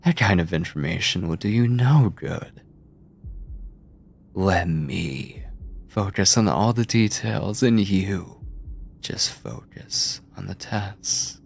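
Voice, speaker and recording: A man playing an obsessive mad scientist captor in a horror ASMR roleplay, coldly doting and clinical, with condescending endearments, theatrical pauses and a breathy, husky voice. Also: low-pitched, expressive, exaggerated voice. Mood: controlling, menacing. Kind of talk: horror ASMR roleplay, intimate monologue to listener.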